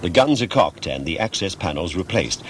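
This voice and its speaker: A man's voice: low voice, sing-song, normal speed, noisy background. A man reading scripted documentary narration in a formal, precise, factual manner.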